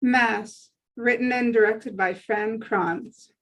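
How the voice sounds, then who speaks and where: A woman's voice: a little high, steady tone, takes it slowly, fairly bright tone. A woman reading screenplay scene descriptions aloud as a narrator at a table read.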